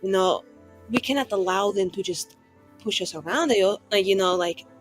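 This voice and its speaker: A woman's voice: mid-range voice, moderate pace, faint music behind, low-quality recording. A woman playing a tabletop roleplaying game, improvising and chatting casually in a rambling, sweary, exasperated way.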